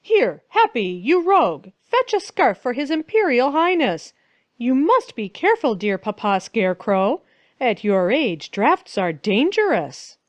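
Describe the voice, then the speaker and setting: Scheming prince, sly and mock-sweet, higher and lilting, played by a woman reader. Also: big pitch swings, a little slow, dry recording. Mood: fawning. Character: scheming young male prince, sly, fawning. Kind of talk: storybook reading.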